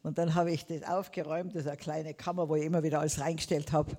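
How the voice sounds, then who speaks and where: A woman's voice: deep voice, little pitch movement, fast talker, mellow, big-room sound. An older woman from Tyrol with a Tyrolean accent, preaching a sermon emphatically, drawing out words and calling out Amen and Hallelujah. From Tyrol, Austria.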